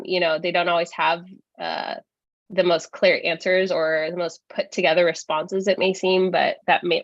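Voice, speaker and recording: A congested woman getting over a cold, explaining procedure at a student government meeting in a measured way with many fillers. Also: on the low side, a bit bright, dry recording, highs cut off.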